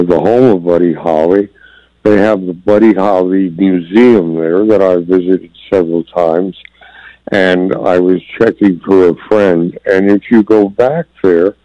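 A man calling into a radio show, talking slowly and haltingly with many fillers as he shares memories. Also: sits low, dark tone, no room sound. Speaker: caller. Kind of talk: phone-in call. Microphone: telephone. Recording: dull lo-fi phone line.

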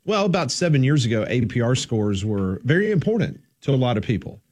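A man's voice: medium pitch, big pitch swings, fairly quick, darkish tone. A man hosting a sports talk radio show, easygoing and folksy, who also reads the sponsor ads himself. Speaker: radio host.

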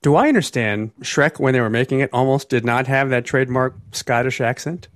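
A man's voice: mid-range voice, brisk, no echo, studio quality. A middle-aged man hosting a conversational radio interview. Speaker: radio host.

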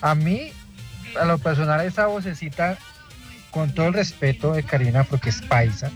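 A man's voice: fairly high pitch, moderate pace. A man with a Colombian accent chatting casually as a listener calling into a radio show. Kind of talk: casual chat. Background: music.

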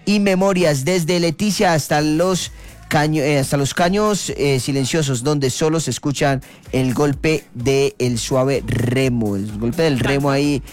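A man hosting a casual radio show, relaxed and chatty with hesitant fillers. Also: fairly high pitch, measured pace, soft background music. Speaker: radio host. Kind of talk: casual radio chat with a read travel promo.

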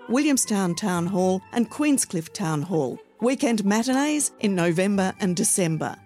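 A woman's voice: mid-range voice, darkish tone. Woman reading a radio ad over music. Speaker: announcer. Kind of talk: scripted radio advertisement.

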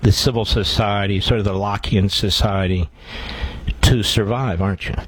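A man's voice: on the low side, fairly even intonation, normal speed, slightly rough, no echo, poor audio. A man hosting a radio interview, steering the talk with leading questions. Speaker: radio host.

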